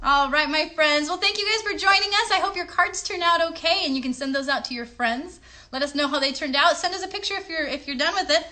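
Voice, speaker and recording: Casting: woman children's show host, high bright voice, chatty address to young viewers, faint music behind. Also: fast.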